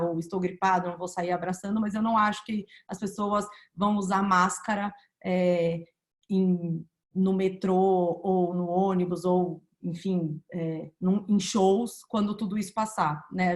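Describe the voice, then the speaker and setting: A woman with a Brazilian accent moderating a panel discussion over a video call, talking conversationally with many fillers. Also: on the low side. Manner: conversational, many fillers, thinks aloud while asking.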